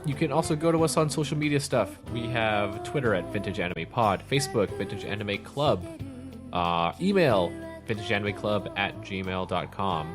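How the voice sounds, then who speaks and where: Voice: deep voice, lively intonation, moderate pace, over music. A podcast host chatting casually in a rambling, jokey way, often self-correcting. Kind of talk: casual chat.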